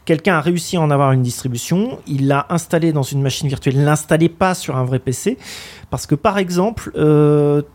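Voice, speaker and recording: A man's voice: a little high, fairly quick, a little mellow. A man co-hosting a radio show in casual chat, hesitant and full of fillers and restarts.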